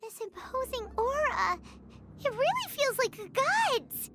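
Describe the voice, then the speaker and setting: A woman voicing a tiny, excitable fairy girl with a squeaky childlike voice, blunt and easily flustered, in a video game. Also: slow, background noise, heavily acted. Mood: awed. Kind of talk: cartoonish video game cutscene acting.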